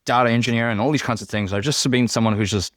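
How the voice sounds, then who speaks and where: A man's voice: mid-range voice, steady tone, fast talker, fairly bright tone, no echo, clean studio sound. A man in his thirties from Fiji, raised in Hawaii, with a mixed Fijian, New Zealand, Australian and American accent, chatting casually and self-deprecatingly as a podcast guest. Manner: rambling, self-deprecating, joking asides. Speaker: podcast guest, software engineer.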